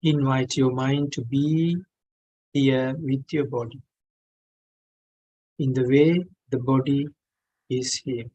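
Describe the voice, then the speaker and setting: A man who is a non-native English speaker, guiding a meditation in gentle, measured phrases with long pauses. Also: mid-range voice, fairly even intonation, highs cut off. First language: not English. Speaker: meditation teacher. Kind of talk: guided meditation instruction.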